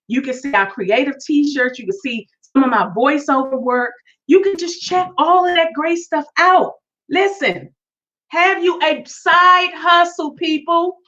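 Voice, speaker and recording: Woman in her forties, high bright voice, animated preachy podcast rant, dull lo-fi sound. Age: 40s. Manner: animated, preachy, addresses listeners directly. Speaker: podcast host. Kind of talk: casual solo podcast rant.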